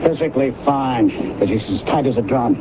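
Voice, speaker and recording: A man's voice: medium pitch, expressive, moderate pace, narrow, tinny audio, lightly acted. A man acting a worried, exasperated director, urgent and sardonic, in an old-time radio drama. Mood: exasperated, alarmed. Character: worried, exasperated male director pleading for caution. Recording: very noisy, thin old radio broadcast.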